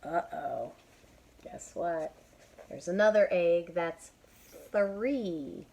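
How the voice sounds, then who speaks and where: A woman's voice: fairly low pitch, very slow, creaky. A woman reading a storybook aloud to young children, expressively, with teaching asides. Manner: expressive, spells out words for young listeners.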